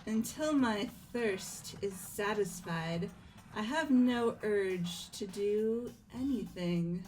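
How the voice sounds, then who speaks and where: A woman's voice: mid-range voice, darkish tone, lightly acted. A woman playing a haughty, demanding young lady with a formal, imperious manner, in a fan-voiced visual novel game. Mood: cool, demanding. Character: haughty, demanding, coolly polite young lady who loves coercion.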